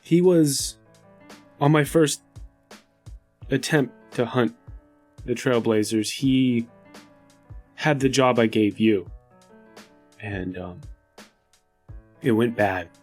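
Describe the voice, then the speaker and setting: A man acts out an earnest desert hunter from a tabletop game, weighed down by guilt. He speaks slowly and hesitantly, in a somber, middle-pitched voice. Faint music plays behind him. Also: a little mellow. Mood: somber, haunted. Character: guilt-laden desert hunter haltingly confessing past trauma, earnest.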